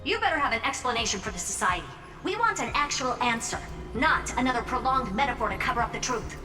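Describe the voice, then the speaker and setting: An actress playing a sharp, commanding female genius in a video game, speaking in an assertive, exacting and demanding way. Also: a little high, lively intonation, fast talker, lightly acted. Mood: angry, demanding. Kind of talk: dramatic scripted video game voice acting. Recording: distant, reverberant hall echo over music.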